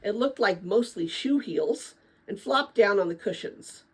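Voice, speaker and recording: Female storyteller for middle-grade read-aloud. Animated intonation, husky edge, dramatic but conversational. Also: medium pitch, a little slow. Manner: expressive, chatty when commenting to listeners. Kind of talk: dramatic children's book read-aloud with casual asides.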